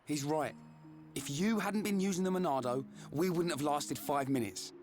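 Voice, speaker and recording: An actor playing an earnest, fierce young hero in a dramatic video game scene, protective of friends. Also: male voice, a little high, moderate pace, darkish tone, faint music behind, lightly acted. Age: young adult.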